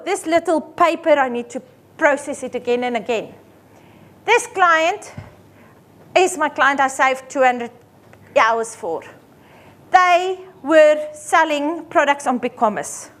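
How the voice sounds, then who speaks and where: Afrikaans-accented accountant lady, high voice, lively seminar talk with everyday examples. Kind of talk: seminar presentation. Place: seminar room.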